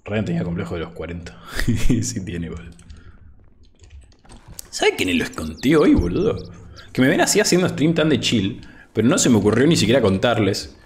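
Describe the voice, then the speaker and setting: A man's voice: fairly low pitch, big pitch swings, moderate pace, long pauses, a little hiss or hum. A young man in his twenties with an Argentine accent, chatting casually on a gaming stream in a laid-back, chatty way, with a headset mic at home. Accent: Argentine Spanish. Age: 20s. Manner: laid-back, chatty, says "boludo" often, reads chat aloud. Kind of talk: casual gaming livestream chat. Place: home room, indoors. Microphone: over-ear headset.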